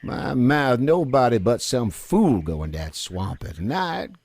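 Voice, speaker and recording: Gravelly old fisherman. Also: medium pitch, big pitch swings, slow, a little mellow, full character voice. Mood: wily, reluctant. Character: folksy old swamp fisherman named Champagne. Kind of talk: video game character acting.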